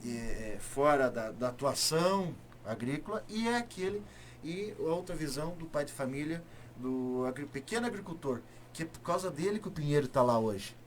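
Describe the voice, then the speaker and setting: A man from southern Paraná with a rural southern Brazilian accent, speaking as a politician being interviewed, emphatic and halting, repeating words and asking rhetorical questions. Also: on the high side, a little hiss or hum. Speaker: state deputy, interview guest. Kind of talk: political interview, spontaneous speech.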